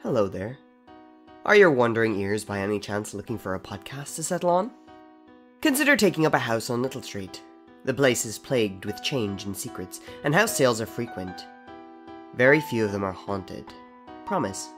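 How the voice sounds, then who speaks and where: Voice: mid-range voice, sing-song, normal speed, soft background music. An announcer of ambiguous-sounding gender reading a scripted, tongue-in-cheek podcast trailer invitingly.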